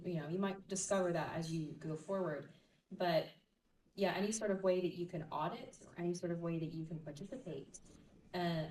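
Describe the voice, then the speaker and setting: A woman's voice: on the low side, a little mellow, slight background noise. A woman voice actor on a convention panel, thoughtfully explaining her craft through examples. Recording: echoey hall, mic from far off.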